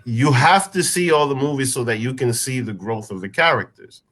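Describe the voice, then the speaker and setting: A man on a film review panel debating casually and insistently, halting and repeating his phrases as he makes his point. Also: medium pitch, a bit bright.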